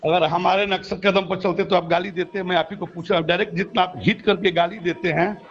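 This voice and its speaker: High pitched guy w bhojpuri accent arguing on a dull phone call, keeps cutting in asking to be heard. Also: steady tone, brisk. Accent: Bhojpuri-region Hindi. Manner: interrupting, repeatedly demands to be heard. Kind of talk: argumentative phone conversation. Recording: muffled, lo-fi recorded phone call.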